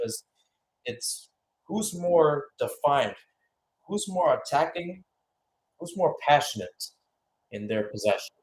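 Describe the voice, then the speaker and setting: Male play-by-play commentator, slightly high voice, live radio-style football call. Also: poor audio.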